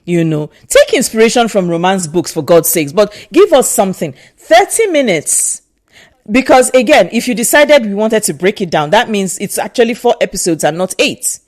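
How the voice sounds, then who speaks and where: Nigerian-accented woman, vlogger, lively swooping pitch, emphatic and repetitive. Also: normal speed. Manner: emphatic and animated, repeats herself for stress. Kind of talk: casual opinion vlog.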